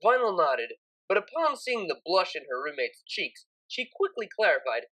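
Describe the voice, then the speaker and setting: A woman reads a story aloud as its narrator. Her voice sits a little low for a woman and moves through a very lively range of pitch, giving the scripted prose expression. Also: moderate pace, poor audio. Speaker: audiobook narrator.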